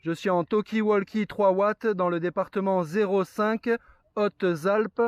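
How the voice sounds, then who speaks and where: A man's voice: sits high, fairly even intonation, a little slow, smooth, no room sound. A man chatting casually and explaining things as a radio operator. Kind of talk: casual radio contact chat.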